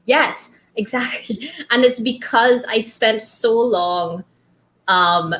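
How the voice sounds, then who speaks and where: A woman from Trinidad with a light Trinidadian accent, hosting a casual book club chat and telling lively stories with laughs and acted-out quotes. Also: fairly high pitch, takes it slowly. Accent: light Trinidadian, trained toward neutral. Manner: animated storyteller, laughs often, acts out quotes.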